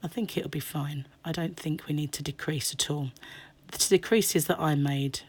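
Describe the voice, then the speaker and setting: A middle-aged woman with a croaky, hoarse voice, chatting her way through a crochet tutorial with lots of ums and restarts. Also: deep voice, normal speed. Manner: chatty, rambling explanations with many ums. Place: indoors at a table.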